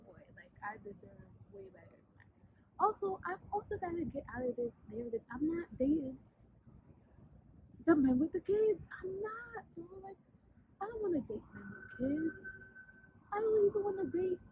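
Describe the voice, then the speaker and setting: A woman, chatting bluntly and animatedly in a casual vlog, a little raspy from sinus trouble, filmed outdoors on a city street by a camera on a tripod. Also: very high-pitched, moderate pace. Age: 20s. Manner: blunt, animated, swears freely. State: sinus congestion, slightly raspy. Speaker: vlogger. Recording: dull street recording with city noise.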